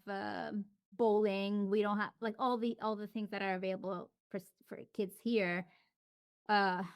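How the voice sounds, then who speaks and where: A woman speaks as an interview guest in a relaxed, reflective conversation. Her voice is smooth and clear at an ordinary middle pitch, recorded close and dry. She often restarts her sentences and drops fillers as she thinks aloud. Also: no echo. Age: adult. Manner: chatty, frequent restarts and fillers.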